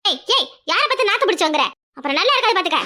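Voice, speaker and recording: A woman's voice: very high-pitched, very animated, rapid-fire, sharp tone, exaggerated voice. A woman playing a spiteful, haughty sister-in-law in a village family drama, scolding and taunting. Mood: indignant, threatening. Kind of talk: Tamil family drama dialogue.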